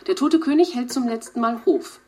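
An actress playing a dramatic, sensational TV news reporter in a radio drama. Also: female voice, a little high, moderate pace, darkish tone, slight background noise, close to an everyday voice. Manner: dramatic, announcing.

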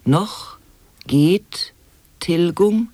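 A man playing an absurd, solemnly musing monologist in an experimental radio play, halting and deliberate, drifting into breathy whispers. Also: high voice, dark tone, dry recording, heavily acted.

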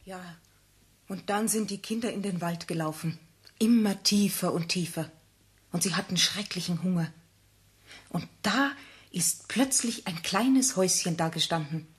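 An actress playing a mother who tells a fairy tale to her child in a gentle, instructive way. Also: fairly low pitch, a little slow, breathy edge. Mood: storytelling. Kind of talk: 1960s radio play acting.

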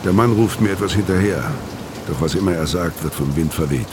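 An actor playing a weary, haunted older ex-convict, narrating a radio crime drama in a brooding, measured way. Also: male voice, low-pitched, background noise, close to an everyday voice. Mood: tense. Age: 50s. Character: weary, haunted older male ex-convict telling his story.

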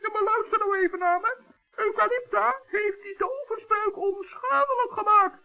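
Little forest gnome, squeaky high voice, kind, hesitant, children's radio drama, dull old recording. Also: male voice, normal speed, gravelly. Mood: earnest, concerned. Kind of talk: 1960s children's radio play acting.

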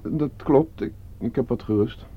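An actor playing a cool, scheming nephew in a radio drama, speaking in measured, precise and polite phrases. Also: male voice, mid-range voice, a little hiss or hum, close to an everyday voice. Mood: defensive, evasive. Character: cool, scheming nephew hiring a killer for inheritance. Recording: slightly dull radio play recording.

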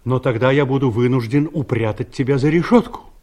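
A male actor plays a mild ageing investigator in a radio play. He is a law-abiding, fussy man with a medium-pitched voice, explaining things earnestly and without hurry. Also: darkish tone, lightly acted. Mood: earnest, reluctant. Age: older. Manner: earnest, pedantic, unhurried explaining. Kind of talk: audio drama dialogue.

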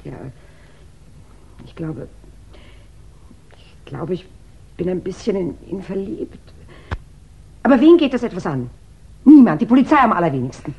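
An actress playing a defensive, emotional widow in a 1960s German radio crime drama, hesitant and intense. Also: female voice, fairly low pitch, big pitch swings, unhurried, long pauses, dark tone, slightly rough, full character voice. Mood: confessing, agitated.

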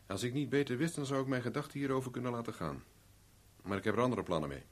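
An actor playing a self-assured, probing private investigator with dry irony in a 1970s radio drama. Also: male voice, medium pitch, fast, close to an everyday voice. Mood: dry, sly.

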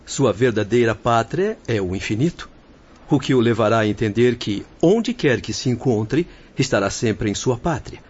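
Casting: wise spirit doctor, mid-pitched husky voice, solemn declamation, muffled radio drama. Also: male voice, lively intonation, moderate pace, a little mellow. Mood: solemn teaching. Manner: declamatory, formal. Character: solemn male spirit doctor, philosophical, benevolent. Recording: dull lo-fi recording.

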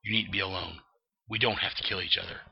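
A man reading aloud the lines of a weary grim male vigilante, speaking low, quiet and earnest. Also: steady tone, fast, slightly rough. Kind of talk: reading comic dialogue aloud. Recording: dull lo-fi dry recording.

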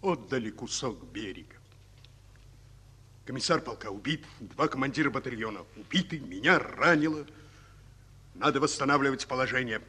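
Forceful, husky, fatherly Soviet colonel. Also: medium pitch, expressive, normal speed, long pauses. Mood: grave, resolute. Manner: fatherly, old-fashioned endearments, commanding. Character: wounded Soviet colonel, brisk, fatherly, dutiful. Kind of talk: Russian radio play acting.

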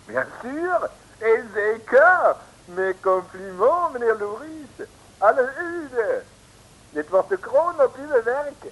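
A man's voice: extremely high pitch, expressive, takes it slowly, a bit bright, slightly rough, exaggerated voice, low-quality recording. An actor playing a flustered, grateful French policeman with a French accent in a 1950s Dutch radio serial, effusive and formal. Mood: admiring. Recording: hissy old radio recording.

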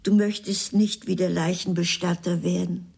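Husky, resolute wife. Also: female voice, on the low side, little pitch movement, moderate pace, darkish tone. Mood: grave, concerned. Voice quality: husky, breathy, creaky. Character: resolute, tender wife who fears poverty. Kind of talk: radio drama acting.

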